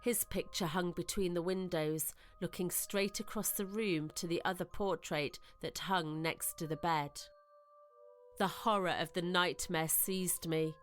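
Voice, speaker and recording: A woman reading scripted ghost-story narration in a measured, literary, suspenseful manner, as in a radio drama. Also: lowish voice, steady tone, soft background music.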